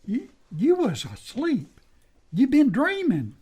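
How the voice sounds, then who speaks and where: A man's voice: very high-pitched, huge pitch swings, takes it slowly, darkish tone, husky, heavily acted. A man playing an anxious, loyal deputy in an old-time western radio drama, with a folksy American drawl and a stammering, deferential manner. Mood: deferential, chatty.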